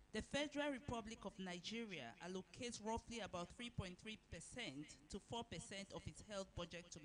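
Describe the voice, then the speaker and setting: A woman whose first language is French, delivering a formal prepared keynote address as a conference chairperson in a measured way. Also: mid-range voice, rough recording. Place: conference venue.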